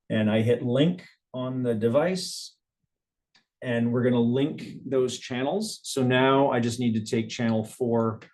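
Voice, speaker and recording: A man's voice: mid-range voice, a little mellow. A man presenting a live product demonstration in a webinar, explaining things conversationally and thinking aloud as he goes. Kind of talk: live product demo, casual technical presentation.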